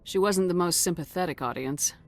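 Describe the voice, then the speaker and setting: An actress playing a steady, decisive military commander in a video game, direct and confident. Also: female voice, on the low side, a little fast, close to an everyday voice. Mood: calm. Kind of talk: video game cutscene acting.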